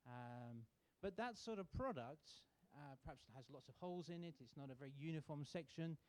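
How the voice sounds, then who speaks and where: A man from the UK giving a sponsor's welcome speech at a student contest, relaxed and halting with many fillers. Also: fairly high pitch. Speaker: sponsor representative. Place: university auditorium.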